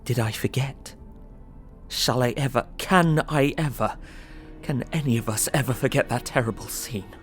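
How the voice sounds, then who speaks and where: A man's voice: medium pitch, moderate pace, lightly acted. Earnest diarist over music played by a man. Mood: anguished. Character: earnest, anxious young English solicitor recounting horrors. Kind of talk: dramatic diary narration.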